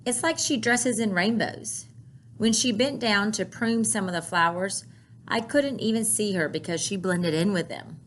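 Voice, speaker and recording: A woman's voice: mid-range voice, moderate pace, a little hiss or hum. A woman reading a picture book aloud to children, expressively and carefully, with brief asides of her own.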